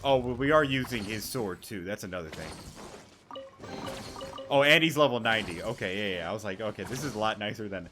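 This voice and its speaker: A man's voice: on the low side, brisk, pushed and bright, soft background music. A young man giving casual gameplay commentary, rambling and thinking aloud in slangy, sweary speech. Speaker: gaming YouTuber.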